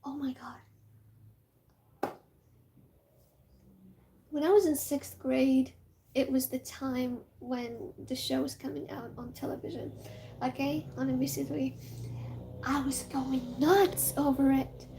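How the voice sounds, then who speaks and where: A young woman from Morocco with a Moroccan accent, Amazigh her first language, chatting casually and excitably, rambling with many fillers and dropping into whispers. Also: high voice, slow pace, long pauses, a little hiss or hum, rough recording. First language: Amazigh (Tamazight). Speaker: vlogger.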